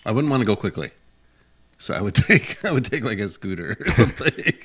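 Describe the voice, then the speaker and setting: A man's voice: on the low side, big pitch swings, brisk, a bit bright, husky. A man co-hosting a podcast in casual comedic banter, riffing playfully and often chuckling. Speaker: podcast co-host.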